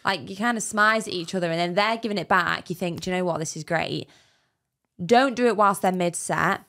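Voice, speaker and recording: A woman's voice: on the low side, a little fast, a bit bright. A woman in her thirties co-hosting a podcast in a small studio, chatting loosely and trailing off mid-thought. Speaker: podcast co-host. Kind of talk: casual chat. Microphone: studio mic on arm, headphones.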